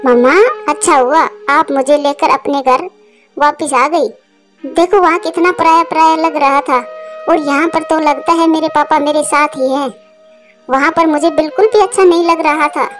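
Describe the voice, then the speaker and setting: A woman's voice: high-pitched, fairly even intonation, normal speed, faint music behind, natural voice. A woman voicing a stubborn, tender little daughter bird in a cartoon, with a plaintive, childlike delivery. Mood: relieved. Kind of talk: Hindi moral-story cartoon dialogue.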